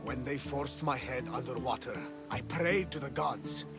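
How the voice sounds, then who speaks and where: Man playing a gravelly, pious old sea captain. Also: mid-range voice, measured pace, loud music behind the voice, close to an everyday voice. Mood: grateful, jovial. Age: older. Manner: theatrical, grateful, devout. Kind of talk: video game cutscene acting.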